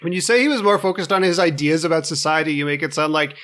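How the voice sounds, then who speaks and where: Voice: medium pitch, fast talker, fairly bright tone. A podcast panelist trading casual banter and wry quips. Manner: wry, quipping. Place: in person around a table.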